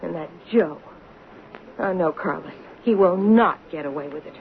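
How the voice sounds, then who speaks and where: Indignant waitress on tinny old radio. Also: female voice, fairly low pitch, moderate pace, creaky. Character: indignant, devoted waitress obsessed with a soap character. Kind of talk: 1970s radio drama acting. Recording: muffled narrow-band old radio broadcast, faint noise.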